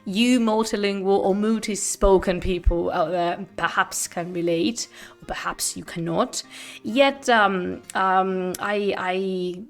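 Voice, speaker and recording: A young woman whose first language is Spanish, hosting a casual livestream chat with lively laughs and sudden whispers. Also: mid-range voice, takes it slowly, faint music behind. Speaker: host.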